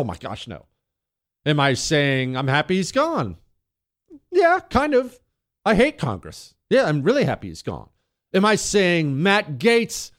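A man's voice: mid-range voice, no echo, studio quality. An American man hosting political talk radio, delivering an opinionated monologue in an emphatic, dramatic manner, leaning on rhetorical questions and repetition. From United States. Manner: emphatic, dramatic, slowing down on key words.

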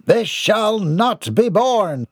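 Man putting on a pompous, indignant, high-pitched ranter. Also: expressive, measured pace, no echo, studio quality, heavily acted. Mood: grandiose outrage. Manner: declamatory, grandiloquent, florid formal diction. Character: pompous, indignant, grandiose eccentric man ranting.

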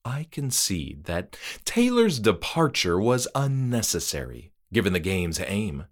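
A man voicing a soft, eerily polite trick-or-treater. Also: on the low side, full character voice. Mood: coldly polite. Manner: calm, soft, measured and formal.

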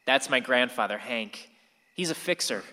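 A man's voice: medium pitch, animated, normal speed, bright, forceful tone. An actor playing an angst-ridden sixteen-year-old boy who narrates a radio play in a reflective way. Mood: storytelling. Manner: reflective, storytelling to the listener. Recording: reverberant hall.

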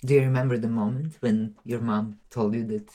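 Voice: mid-range voice, fairly even intonation, a little fast, warm tone. An interviewer asking short, prompting questions.

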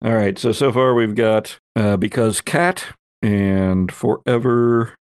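A man's voice: on the low side, slow pace, a little mellow, clear, resonant tone, no echo, clean studio sound. A man hosting as game master, narrating a tabletop roleplay story in a theatrical, folksy storyteller's way. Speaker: podcast host and game master.

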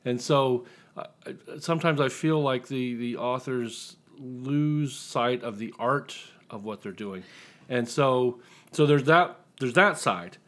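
A man's voice: mid-range voice, slow, fairly bright tone. A man speaking as a podcast guest in casual interview chat, explaining things reflectively. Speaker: podcast guest, writer and marketer.

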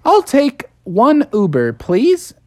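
A woman voicing a slangy, easygoing and excitable male frog in a children's story. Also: sits high, takes it slowly, voice pushed up, exaggerated voice. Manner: casual, exclamatory, slangy.

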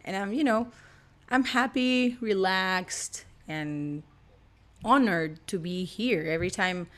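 A woman's voice: mid-range voice, slow, a bit bright. A young woman from Argentina with an Argentine accent, chatting playfully as a podcast guest and laughing often. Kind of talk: casual chat.

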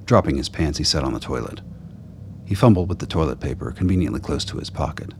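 A man's voice: a bass voice, lively intonation, quick pace, gravelly, darkish tone, slight background noise. A man narrating a scripted radio play as storyteller.

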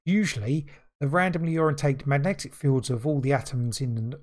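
A man's voice: mid-range voice, a little mellow. Man stumbling through a news read. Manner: reads haltingly, stumbles over words. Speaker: podcast co-host. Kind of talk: casual chat, reading news aloud.

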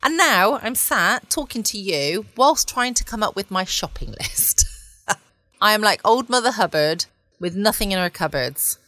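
A woman's voice: mid-range voice, animated, normal speed, bright, forceful tone, no room sound, poor audio. A woman hosting a bedtime podcast from home in an informal, rambling, chatty way. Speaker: podcast host. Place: at home, close dry recording.

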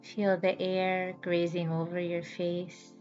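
A woman guiding a yoga nidra relaxation as host, with a soothing, measured manner and deliberate pauses. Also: fairly low pitch, completely flat delivery, darkish tone. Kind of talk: guided relaxation meditation. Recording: slightly dull audio with background music. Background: music.

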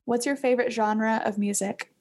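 A woman's voice: a little high, steady tone, normal speed, a little mellow. A woman hosting a business podcast, bubbly and laughing often between questions. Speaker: podcast host. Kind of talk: podcast interview.

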